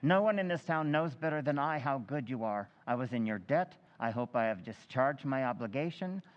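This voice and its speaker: A man's voice: mid-range voice, expressive, normal speed, dull audio, natural voice. A man playing an earnest, grateful townsman. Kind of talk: old-time radio acting.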